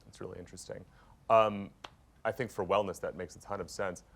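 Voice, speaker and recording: A man with a very low, deep voice steers a live roundtable. He asks quick, friendly questions, laughing easily between them. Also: fairly even intonation, creaky. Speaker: panel moderator. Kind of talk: conversational panel moderation.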